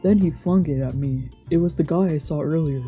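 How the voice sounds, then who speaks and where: A woman's voice: deep voice, a little fast, mellow, soft background music. A woman streamer casually reading a visual novel aloud and commenting as she plays. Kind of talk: casual let's play with narration.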